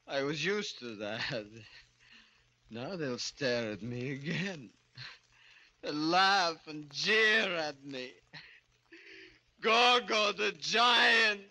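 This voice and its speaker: A man's voice: fairly high pitch, animated, drawn-out words, pauses often, pushed and bright, heavily acted, low-quality recording. An actor playing a tormented murderer, a small man grown into a giant, in 1940s radio horror style. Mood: bitter, hysterical. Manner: melodramatic, anguished. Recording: old radio recording with faint music.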